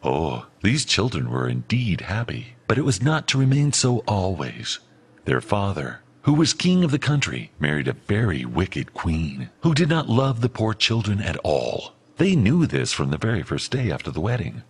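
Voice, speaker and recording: Lively, low-voiced man telling a fairy tale. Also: moderate pace, breathy edge. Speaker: audiobook reader. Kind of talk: scripted storybook narration of a fairy tale.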